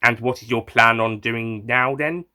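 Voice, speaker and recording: A man's voice: mid-range voice, fairly even intonation, unhurried, pushed and bright, clean tone. A man voicing a wry, flustered time-travelling hero in an audio story, hesitant, dryly polite and exasperated.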